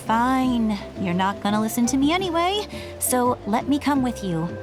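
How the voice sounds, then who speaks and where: A woman's voice: on the high side, expressive, unhurried. A woman voicing a stubborn, spirited younger sister from a tribe in a video game, with an insistent, plucky manner. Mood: stubborn, worried. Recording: game music behind the voice.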